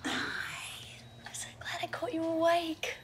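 An eager naive volunteer. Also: female voice, extremely high pitch, completely flat delivery, unhurried, slight background noise. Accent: Australian. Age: young adult. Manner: eager, breathless, well-meaning. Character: naive earnest young woman volunteer producer. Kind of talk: TV comedy acting.

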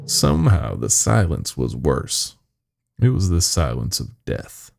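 A man's voice: rumbling low, huge pitch swings, slow pace, gravelly, darkish tone. A man narrating a first-person horror story in a weary, haunted, folksy storytelling manner.

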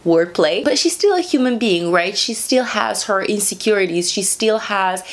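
A woman's voice: medium pitch, normal speed. A young woman hosting a casual book review channel from home, chatting animatedly and sometimes dropping to a whisper, speaking into a camera mic at medium distance. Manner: lively, gestures a lot, drops to whispers. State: sleepy, short on sleep. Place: small room at home, indoors.

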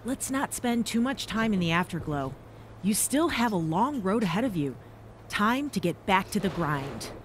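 A woman's voice: medium pitch, big pitch swings, normal speed, a little hiss or hum, natural voice. A woman voicing a tough, supportive female wrestling mentor who speaks firmly and encouragingly. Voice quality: firm, encouraging.

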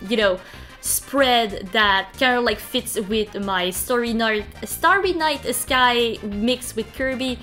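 A woman's voice: fairly high pitch, pushed and bright. A young woman from Quebec with a French Canadian accent, chatting casually with lots of ums as she narrates her bullet journal video. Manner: chatty, many ums and uhs, light laughs.

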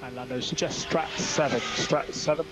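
A man's voice: fairly high pitch, steady tone, normal speed, music in the background. A man working as a race engineer, giving terse, clipped instructions over team radio and repeating the key calls. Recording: noisy team radio with vehicle sound.

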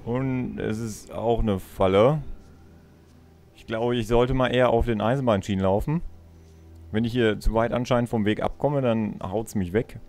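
A man's voice: on the low side, normal speed, a little mellow, soft background music. A young man giving casual let's play commentary, chatting and thinking aloud as he goes. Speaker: let's player.

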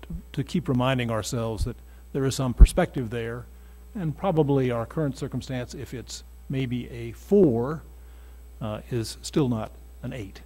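A man's voice: mid-range voice, measured pace, dark tone. A man closing his remarks on a conference panel in a wry storytelling manner. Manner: wry storytelling, quoting himself. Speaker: panel speaker.

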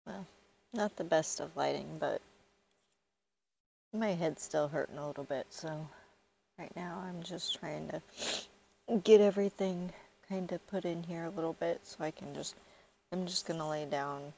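A middle-aged woman chatting casually to herself while camping, a little stuffy, with soft murmured asides. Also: low-pitched, unhurried, vocal fry, pauses often, a little hiss or hum, rough recording. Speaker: camping vlogger. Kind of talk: casual solo vlog chat. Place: outdoors in pine woods.

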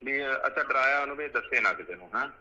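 A man's voice: mid-range voice, lively intonation, quick pace, sharp tone. A man on a phone call, questioning and talking insistently, often repeating his words. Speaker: caller. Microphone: telephone. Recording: muffled lo-fi phone call recording.

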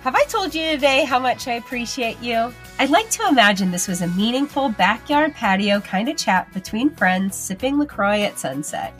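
A woman's voice: fairly high pitch, lively intonation, moderate pace, hardly any pauses, fairly bright tone. A young woman hosting a podcast, affirming and earnest as she thanks her guest and listeners. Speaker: podcast host. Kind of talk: podcast interview and outro. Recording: faint music behind.

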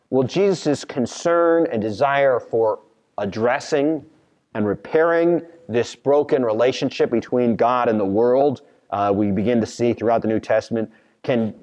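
An American man preaching a sermon as a pastor, speaking earnestly and in a measured way with deliberate pauses. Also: mid-range voice. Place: large church sanctuary, at a pulpit. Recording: long reverb of a church hall.

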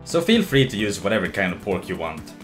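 A young man narrating a casual cooking video in a relaxed, joking way, with fillers. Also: low-pitched, a little fast. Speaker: cooking show host. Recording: voice-over with clear background music.

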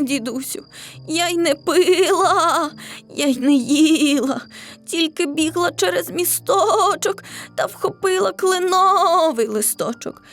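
A woman's voice: high-pitched, voice pushed up. A woman voicing a sly, plaintive female goat in a children's folk tale, with a singsong rhymed delivery. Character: sly whining female goat, feigning hunger, boastful and menacing.

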